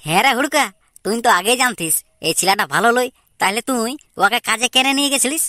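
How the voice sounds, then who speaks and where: A woman acts out a dutiful young village brother in a cartoon. The voice is fairly high and very bright, with a Purulia Bengali accent, earnest and questioning, in a dry recording. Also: animated, quick pace, no room sound. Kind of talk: amateur cartoon comedy dialogue.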